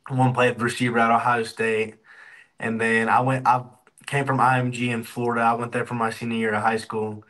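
A man's voice: medium pitch, little pitch movement, fairly quick, a bit bright. A young man from Texas talks over a video call from an indoor room. He is an easygoing, upbeat college football player who fills his answers with casual fillers. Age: young adult.

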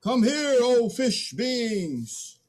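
A man's voice: extremely high pitch, sing-song, extremely slow, exaggerated voice. A middle-aged man playing a boastful, stubborn early human in a dramatic play reading. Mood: commanding. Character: first Man, boastful, greedy, stubborn, awkward.

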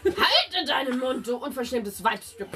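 Tabletop fantasy guard, male, corrupt and touchy, pompous, highish pitch female performer. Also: sing-song, a little slow, very noisy. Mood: indignant. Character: gruff, greedy, easily offended male village guard.

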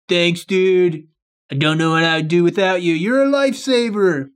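A man's voice: high-pitched, normal speed, smooth, natural voice. A man acting a scheming but very polite, apologetic male robber in a game roleplay.

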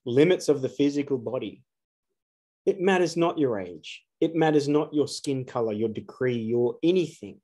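A man's voice: mid-range voice, expressive, moderate pace, dark tone. Earnest man in his forties. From Australia. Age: 40s. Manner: earnest, emphatic, rhetorical questions. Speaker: astrologer. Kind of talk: solo spiritual talk to camera.

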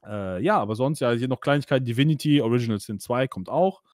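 A man's voice: mid-range voice, expressive, fast, darkish tone, no room sound, clean studio sound. A man hosting a casual podcast about games, chatty and full of fillers, laughing now and then. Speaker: podcast host. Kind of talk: casual gaming podcast chat.

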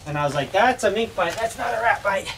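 A man's voice: high-pitched, expressive, fast talker, gravelly. Male, 30s, field ratter; casual commentary and dog commands, chest-cam audio with wind and dog noise behind. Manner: calls out commands to dogs, praises them warmly. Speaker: pest control ratter with dogs. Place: outdoor dirt pens on a farm. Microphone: chest-mounted body camera. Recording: noisy outdoor camera audio. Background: dogs and outdoor ambience.

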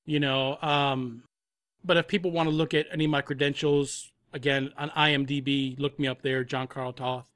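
A man's voice: medium pitch, level tone, moderate pace, fairly bright tone, no echo, studio quality. A man chatting casually as an interview guest, telling stories with repeated words and asides. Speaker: guest, composer and audio drama creator. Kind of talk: casual chat.

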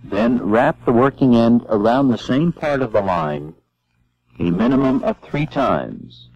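A man giving measured, step-by-step scripted narration for an instructional film. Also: mid-range voice, very animated, vocal fry. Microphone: voice-over. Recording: dull lo-fi old film soundtrack.

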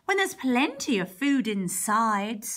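A woman's voice: a little high, very animated, slow pace, fairly bright tone, heavily acted. Woman reading as a bossy wicked old witch. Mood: questioning. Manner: commanding, scolding.

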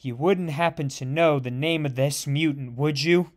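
A man's voice: fairly high pitch, normal speed, voice pushed down, lightly acted. Brief: man playing a tense male superhero, uneasy and earnest. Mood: wary.

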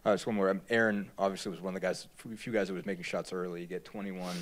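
A man's voice: low voice, flat delivery, fast, slight background noise. A man, a reporter, asking long, hedging questions at a press conference.

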